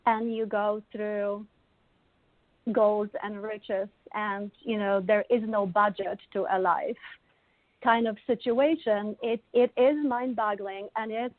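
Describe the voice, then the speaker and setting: A woman's voice: medium pitch, fairly even intonation, takes it slowly, no echo. A middle-aged woman from Poland with a Polish accent, a wellness coach telling her life story in an interview, laughing often as she talks. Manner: warm, laughs often while telling stories. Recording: dry, tinny call audio.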